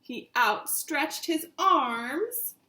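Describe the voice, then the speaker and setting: A woman reading a children's story aloud in an animated, deliberate teacher's way, addressing her young listeners directly. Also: high voice, a little hiss or hum.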